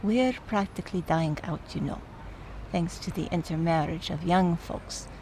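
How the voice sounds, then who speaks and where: A woman's voice: fairly low pitch, moderate pace, mellow, slight background noise, natural voice, poor audio. A woman playing a fond, opinionated, wry older aunt in mourning, in radio play dialogue. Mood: wry, nostalgic.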